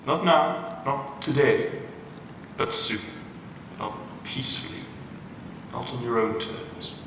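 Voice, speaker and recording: Creepy old demon tempter for an audio drama, sharp theatrical voice, huge echo. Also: male voice, mid-range voice, slow pace. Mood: ominous. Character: sinister bargaining male demon voice, ancient, menacing, patient tempter. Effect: cavernous reverb on the voice. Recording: echoey cavernous reverb, distant, faint hiss.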